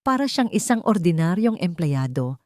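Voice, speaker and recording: A woman's voice: fairly low pitch, sing-song, moderate pace, darkish tone, no room sound, studio quality. A woman with a Filipino accent narrating a dramatic scripted story as an audiobook narrator.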